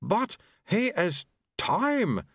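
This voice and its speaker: A man's voice: high voice, wildly swooping pitch, drawn-out words, a bit bright, slightly rough, dry recording, studio quality, voice pushed up, heavily acted. A man voicing a flustered senior male monk who stammers and dithers. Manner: stammering, dithering, full of uhs.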